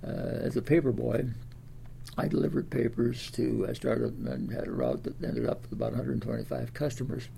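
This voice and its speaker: A man of ninety with an American Midwestern accent, reminiscing in a halting, rambling way with repeated words. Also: medium pitch, expressive, gravelly, warm tone, a little hiss or hum. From Des Moines, Iowa, United States. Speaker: interviewee, World War Two veteran. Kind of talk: oral history reminiscence.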